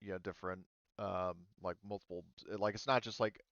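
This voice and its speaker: Low, smooth-voiced man as game master. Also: steady tone, fairly bright tone, dry recording, muffled recording. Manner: informal, halting explanations. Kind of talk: running a tabletop roleplaying game.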